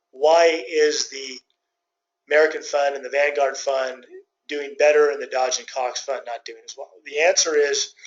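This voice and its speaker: Man with higher-pitched voice, dull muffled call audio. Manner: folksy, conversational, thinking aloud with hesitant pauses. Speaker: financial advisor, company president hosting client call. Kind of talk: informal explanatory presentation to clients. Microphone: conference call line.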